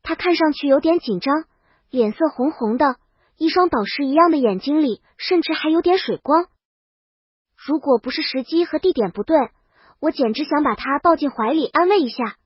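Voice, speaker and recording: A woman's voice: sits high, lively intonation, normal speed, dry recording, highs cut off. A woman narrating a comedic story in the first person, in storybook style. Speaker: audiobook narrator.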